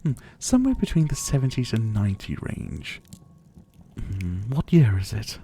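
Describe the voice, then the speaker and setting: A man's voice: lowish voice, wildly swooping pitch, mellow, a little hiss or hum. A man playing a menacing, teasing vampire captor in an intimate ASMR roleplay, drawling and mockingly polite. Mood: wry, amused. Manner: slow, drawling, mockingly polite, with breathy chuckles. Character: menacing, teasing male vampire captor, silver-haired, about seventy.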